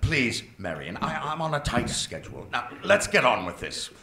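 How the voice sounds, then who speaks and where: A man playing a scatterbrained, pompous male mad scientist who bursts into excitable outbursts. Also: medium pitch, moderate pace, creaky, reverberant, heavily acted. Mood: blustering. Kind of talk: comic radio play acting.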